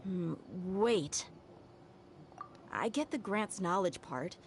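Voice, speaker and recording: A woman's voice: mid-range voice, expressive, slow pace, slight background noise. A woman streamer reading video game dialogue aloud.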